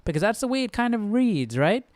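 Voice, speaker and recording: A man's voice: sits high, sing-song, brisk, no echo, clean studio sound. A man hosting a casual live Bible study, thinking aloud and often checking in with the listener. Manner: musing, often checking with "right?".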